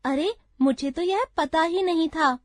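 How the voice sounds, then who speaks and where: A woman's voice: very high voice, a little slow, no echo, voice pushed up. A woman voicing a curious young schoolchild who asks questions in a classroom dialogue. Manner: inquisitive, surprised questions.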